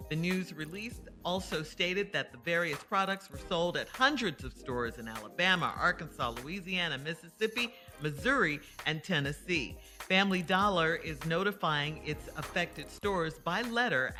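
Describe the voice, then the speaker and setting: A co-host reading news items and game questions on morning radio, laughing often. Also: female voice, lowish voice. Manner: bright, laughing, lively delivery.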